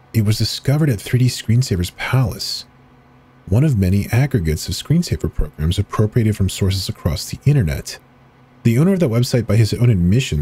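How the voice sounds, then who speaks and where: Husky man, mellow dark tone, lively pitch, reading on-screen text for a horror video. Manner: reads on-screen text aloud, with brief asides. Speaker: horror game video narrator.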